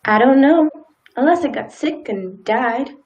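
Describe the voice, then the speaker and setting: A woman playing a polite, sympathetic small-town wife, gentle and hesitant, in a live radio play. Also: sits high, animated, a little slow, dull audio. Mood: mild.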